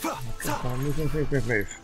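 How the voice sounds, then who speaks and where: A man's voice: mid-range voice, sing-song, measured pace. A man giving casual, muttered running commentary as he plays a video game, with game music behind him. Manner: mutters running reactions to gameplay. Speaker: gaming video creator. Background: game music and sounds.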